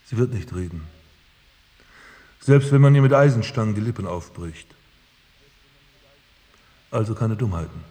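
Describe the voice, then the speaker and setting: An actor playing a menacing, bloodthirsty criminal mastermind in a German radio play, speaking in a commanding, obsessive manner. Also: male voice, on the low side, unhurried, pauses often, warm tone, big-room sound, lightly acted. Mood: cold threat.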